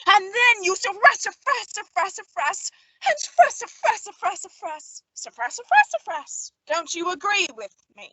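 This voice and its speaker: A woman acts out a huffy, self-important movie director in a radio comedy. The voice is high and bright, breaking into repeated babble. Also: big pitch swings, normal speed, slight background noise, heavily acted, poor audio. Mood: pompous instructing. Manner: pompous, sputtering repeated nonsense. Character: pompous, huffy famous male film director who babbles. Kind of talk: old-time radio comedy acting.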